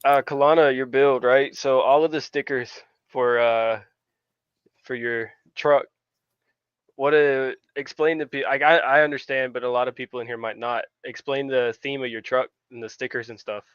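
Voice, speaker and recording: A man's voice: mid-range voice, fairly even intonation, moderate pace, clean tone, no room sound. A man co-hosting a podcast, teasing the guest and asking him to explain his build. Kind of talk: casual chat. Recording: slightly dull, lo-fi sound.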